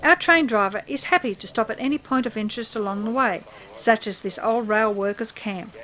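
A woman's voice: on the high side, brisk, noisy background. A woman co-hosting and narrating a travel show, with a dry humour.

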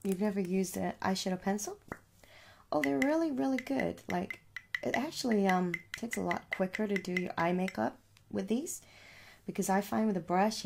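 A young adult woman doing a soft, reassuring ASMR makeup store roleplay, chatting casually and repeating herself as a shopkeeper. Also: mid-range voice, creaky, a little hiss or hum. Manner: reassuring, repetitive, conversational. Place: small room at home.

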